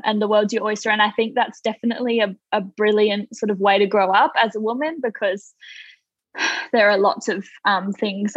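A young Australian woman talks casually as a podcast guest, her voice sitting fairly high. She rambles a little, with frequent fillers and restarts. The sound is dry and slightly dull, like a remote call. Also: dry recording. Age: 20s. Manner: chatty, many fillers and restarts. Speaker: guest, toy company founder.

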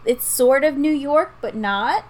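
A woman's voice: sits high, unhurried. A woman with a New Orleans Yat accent, co-hosting a podcast in casual, opinionated chat. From outside New Orleans, Louisiana. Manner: chatty, opinionated, laughs easily. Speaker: podcast co-host.

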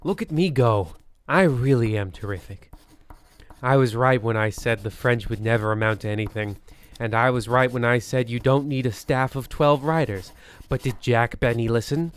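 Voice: medium pitch, moderate pace, clear, resonant tone, natural voice. An actor playing a pompous, boastful scriptwriter muttering a self-satisfied monologue in a comic radio play. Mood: smug, boastful.

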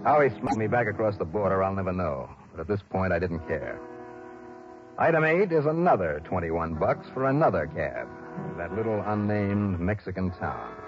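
An actor playing a tough, principled American private investigator in an old radio drama, wry and hard-boiled. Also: male voice, deep voice, animated, normal speed, over music. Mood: wry narration. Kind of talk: 1960s radio detective drama acting and narration. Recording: muffled, hissy old radio recording.

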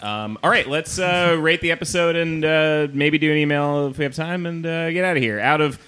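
A man hosting a casual podcast, relaxed as he moves the show along. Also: fairly high pitch, fairly quick, clear, resonant tone. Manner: laid-back, relaxed moderating. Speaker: podcast host. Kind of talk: casual comedic chat.

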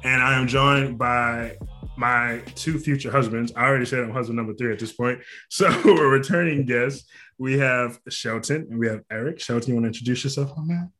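A man's voice: mid-range voice, brisk, sharp tone, soft background music. A man hosting a casual podcast, swearing freely and laughing often. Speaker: podcast host. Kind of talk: casual chat.

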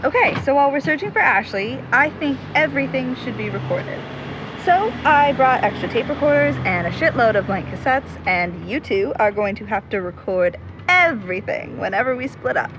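Bossy earnest younger sibling, audio drama, kinda dull sound w faint road noise. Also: female voice, sits high, expressive, normal speed. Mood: earnest, determined. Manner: earnest, insistent. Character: determined, bossy younger sibling hunting missing best friend. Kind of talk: naturalistic audio drama acting.

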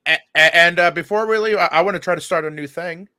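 A man who works as a comedian, co-hosting a podcast with casual, crude joking and a stammering, sometimes loud delivery. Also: high voice, fast, no room sound, studio quality. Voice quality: loud, given to yelling. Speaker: stand-up comedian.

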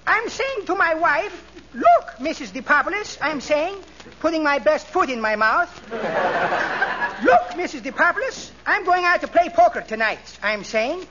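An actor playing an excitable, henpecked Greek man with a Greek accent and mangled English in a 1940s radio comedy. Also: very high voice, unhurried, exaggerated voice. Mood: earnest, comic. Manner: broken grammar, mangled sayings. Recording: noisy, muffled 1940s radio recording.

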